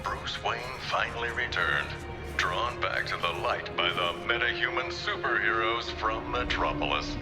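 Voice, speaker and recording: A man's voice: medium pitch, big-room sound. An actor playing a male TV presenter narrating in a dramatic, declaiming broadcast style.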